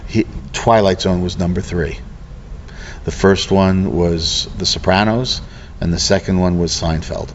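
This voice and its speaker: Low-pitched American man, earnest and halting. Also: fairly even intonation. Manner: earnest, halting, circles back mid-sentence. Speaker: interviewee, local arts program representative. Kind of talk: casual interview conversation. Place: event venue before a program starts. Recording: dull, noisy on-location recording.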